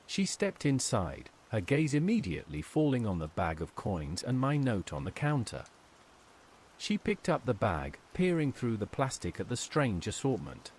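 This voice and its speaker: Man narrating horror, expressive pitch swings. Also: a little hiss or hum. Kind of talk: scripted horror story narration.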